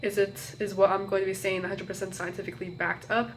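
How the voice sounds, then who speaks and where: A woman's voice: mid-range voice, level tone, quick pace, slight background noise. A young woman talking to her phone camera as a college student vlogger, hesitant and apologetic, with many fillers and restarts and a slightly nasal tone. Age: young adult. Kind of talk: casual rambling chat to camera.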